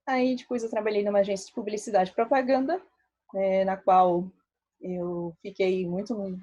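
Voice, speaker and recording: A woman in her thirties from Belo Horizonte with a mixed Minas and Rio accent, a statistician giving a relaxed, chatty talk with many fillers. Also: medium pitch, moderate pace, dull audio. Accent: Brazilian Portuguese, mixed Minas Gerais and Rio. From Belo Horizonte, Brazil. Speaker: statistician presenting to a meetup. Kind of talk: casual talk.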